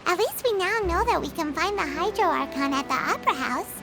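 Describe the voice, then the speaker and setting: A woman voicing a small, excitable, curious female fairy companion in a video game, with a squeaky childlike voice and manner. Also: brisk, loud music behind the voice. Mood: puzzled. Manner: childlike, refers to self in third person.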